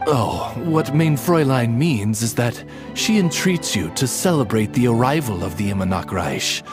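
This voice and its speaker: A man's voice: medium pitch, animated, moderate pace, natural voice. A man voicing a devoted, formal raven retainer in a video game, speaking in an ornate, courteous way. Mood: courtly. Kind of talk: video game voice acting, storytelling narration. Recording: clear music behind the voice.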